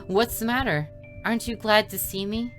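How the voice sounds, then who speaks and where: A woman's voice: medium pitch, moderate pace, voice pushed up, lightly acted. Woman voicing a mysterious, teasing angelic girl. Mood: teasing, confident. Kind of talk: visual novel character read aloud. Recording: music behind the voice.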